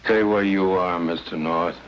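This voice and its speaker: Bitter, reclusive villain in vintage radio mystery. Low register, icy courtesy, noisy old recording. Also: male voice, steady tone, lo-fi sound. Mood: cool, threatening. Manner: coldly formal, measured. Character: reclusive, bitter, menacing fire-scarred divorced man. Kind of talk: 1940s-50s radio mystery acting.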